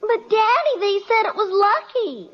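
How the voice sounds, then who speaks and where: An actress playing an earnest, naive little daughter in an old-time radio comedy, plaintive and guileless. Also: female voice, very high-pitched, very animated, unhurried, exaggerated voice. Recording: dull old radio recording.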